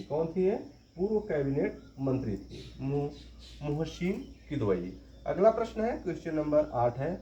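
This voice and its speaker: A man's voice: fairly high pitch, mellow, a little hiss or hum. A man teaching a current affairs lesson for exam preparation, repeating each question and answer for emphasis. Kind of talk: exam-prep quiz lesson. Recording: roomy, dull lo-fi recording.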